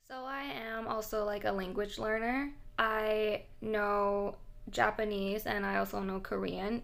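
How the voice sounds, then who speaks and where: A woman's voice: medium pitch, creaky, fairly bright tone. A young woman from Thailand, a native Thai speaker, talking casually in English to camera with plenty of fillers. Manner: chatty, frequent fillers and laughs. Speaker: vlogger.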